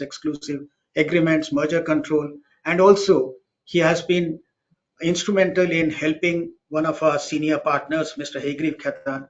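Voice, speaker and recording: A man with an Indian English accent, a law firm partner introducing a webinar in a formal, measured way. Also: on the high side. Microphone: video call. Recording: dull lo-fi video call audio.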